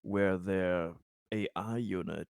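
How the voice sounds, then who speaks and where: A man's voice: deep voice, steady tone, slow, vocal fry, smooth, dry recording, studio quality. A man playing a tabletop game, talking casually with the other players.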